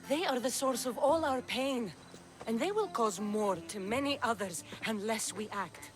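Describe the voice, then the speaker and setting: A woman's voice: on the high side, animated, measured pace, music in the background. A woman playing a fierce, earnest young Greek mercenary and protective daughter in a dramatic video game. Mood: warm, resolute.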